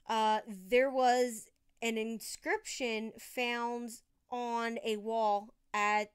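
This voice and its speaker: Woman, mid-pitched, smooth voice, slow pace, casual true crime storytelling, informal asides. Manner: informal, conversational, emphatic asides. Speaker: true crime podcast host.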